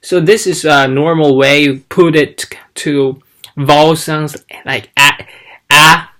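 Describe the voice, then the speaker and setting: Guy w/ talking slow, lots of ums, sounding out syllables one by one. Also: on the high side, steady tone, bright, forceful tone. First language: Chinese. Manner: slow, halting, demonstrates sounds aloud. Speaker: language learner vlogger. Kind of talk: casual teaching vlog.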